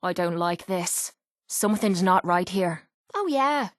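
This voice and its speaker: A woman's voice: on the low side, expressive, unhurried, no echo, studio quality. An actress playing a tough, cynical, streetwise young woman with an Irish accent, as a companion in a video game. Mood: wry, grumbling. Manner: blunt, sardonic, streetwise. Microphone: close, dry studio microphone.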